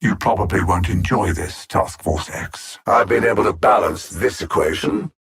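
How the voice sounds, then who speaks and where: A man voicing a cold, arrogant alien machine-mind villain in a video game, speaking in precise, formal phrases with theatrical condescension and a gravelly, husky edge. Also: low-pitched, moderate pace, a bit bright, heavily acted. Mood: smug disdain.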